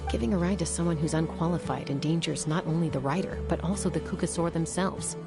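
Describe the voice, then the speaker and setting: Low-voiced, composed young warrior woman. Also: fast talker, music in the background. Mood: calm, explanatory. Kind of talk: video game voice acting.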